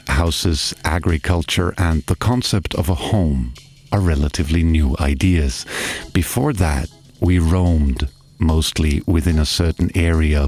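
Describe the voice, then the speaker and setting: A man from Austria, narrating a reflective travel voice-over and asking slow, musing questions. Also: sits low, a little mellow. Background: guitar music.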